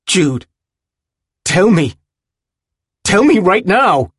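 A male narrator plays an anguished, furious man in an audiobook scene. His voice climbs well above an everyday pitch, rough-edged, with forceful demands and urgent questions. The sound is close and dry. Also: very animated, very slow, dry recording, heavily acted. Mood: furious, desperate. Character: anguished, furious man confronting someone he loves. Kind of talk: audiobook character dialogue.

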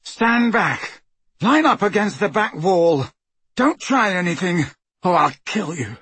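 A man's voice: high-pitched, very animated, slow, a bit bright, husky, dry recording, voice pushed up, full character voice. A man voicing a nervous teenage boy bully in a storybook, shakily giving threatening orders. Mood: shaky threat. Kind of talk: storybook character voice.

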